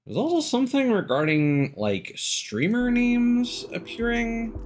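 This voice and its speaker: A man chatting casually on a live game stream, thinking aloud in a halting way. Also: very high-pitched, sing-song, faint music behind. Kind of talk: casual live-stream chat while gaming.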